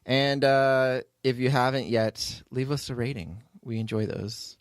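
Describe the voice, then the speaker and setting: A man's voice: medium pitch, steady tone, measured pace, dry recording, studio quality. A man hosting a podcast in casual unscripted chat, with a hesitant, rambling, informal way of talking. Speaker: podcast host, audio drama writer and producer.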